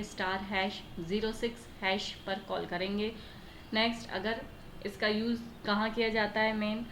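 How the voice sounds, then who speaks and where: An Indian woman teacher explaining a lesson step by step, often asking questions and answering them herself. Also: mid-range voice. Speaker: computer teacher. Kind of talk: explanatory lesson in Hindi mixed with English terms. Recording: echoey room with faint background noise.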